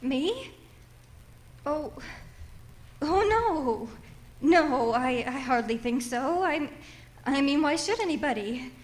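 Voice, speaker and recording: An actress playing a nervous, demanding wealthy invalid wife in a radio thriller, flustered and stammering, with haughty insistence. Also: female voice, high-pitched, lively intonation, slow pace, pauses often. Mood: shaken, defensive. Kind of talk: old-time radio thriller acting.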